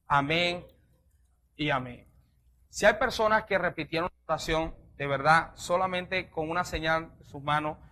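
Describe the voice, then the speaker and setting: A man's voice: on the high side, pushed and bright. A male pastor leading his congregation in an altar call and prayer, fervent and pleading. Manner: fervent, leads the church in repeated prayer.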